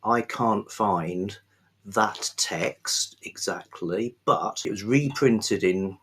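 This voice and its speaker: A man hosting a book vlog, chatting casually without a script in a rambling, halting way with pauses mid-phrase. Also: deep voice, breathy edge. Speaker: host of a book review vlog. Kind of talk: casual unscripted book chat.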